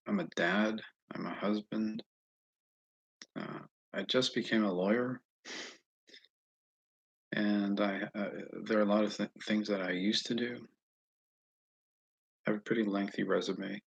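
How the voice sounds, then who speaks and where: An American man chatting casually and haltingly on a livestream, often restarting his sentences as he thinks aloud. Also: fairly low pitch, gravelly, a bit bright, breathy edge, rough recording. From Northeast United States, mostly Massachusetts. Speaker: livestream host answering viewer comments. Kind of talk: casual rambling livestream chat.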